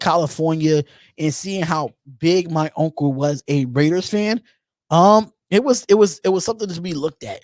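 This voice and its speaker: A man in his thirties hosting a live call-in show on his own, talking casually, repeating phrases and pausing on drawn-out ums while he reads out chat comments. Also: on the high side, a little mellow, no echo, clean studio sound. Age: 30s. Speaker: host.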